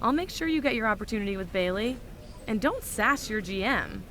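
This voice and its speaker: A woman's voice: mid-range voice, lively intonation, fairly quick, fairly bright tone, slight background noise, lightly acted. An actress playing a sarcastic, no-nonsense woman general manager in a wrestling video game, dry and authoritative. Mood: stern. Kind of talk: video game cutscene acting.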